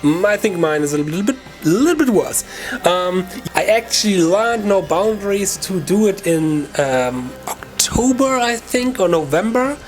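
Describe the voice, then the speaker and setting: Man, German accent, guitar teacher, slightly high voice, informal chatty delivery, halting self-corrections, music behind. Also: animated. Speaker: guitar teacher and video channel host. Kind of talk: casual video lesson talk to viewers.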